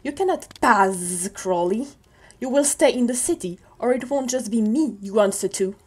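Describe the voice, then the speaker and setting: Girl doing a cold bossy demon lord for a comic dub, husky voice, mocking, drags out buzzy s sounds. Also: fairly high pitch, expressive, moderate pace, darkish tone. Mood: threatening.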